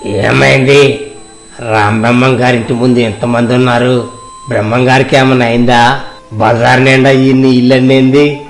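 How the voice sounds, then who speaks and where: A man's voice: mid-range voice, level tone, moderate pace, lightly acted. A man playing a curious villager in a moral story, asking questions. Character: curious male villager asking about a crowd. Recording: roomy echo. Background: faint music.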